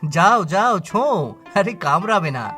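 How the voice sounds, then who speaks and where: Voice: mid-range voice, big pitch swings, a little slow, over music. A performer playing a friendly, hopeful village cowherd boy in a fairy-tale cartoon, youthful, open and reassuring.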